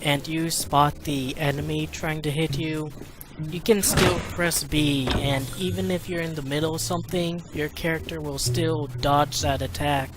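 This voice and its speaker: A mid-range voice gives casual video game commentary, explaining things as a player would in a walkthrough. Game music plays clearly behind the speech. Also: medium pitch, fairly even intonation, a little slow. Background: video game music and sounds.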